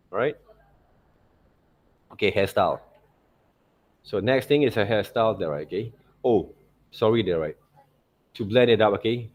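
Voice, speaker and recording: A man giving an unscripted step-by-step tutorial as an art teacher, halting and repetitive, often checking in with short tag words. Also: lowish voice, a little mellow. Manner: halting, repetitive phrasing with frequent "okay, right, see" checks. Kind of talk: unscripted step-by-step drawing demonstration.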